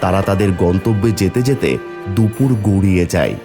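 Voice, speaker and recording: A man's voice: low-pitched, a little fast. A man narrating a scripted fairy tale for a cartoon. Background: background music.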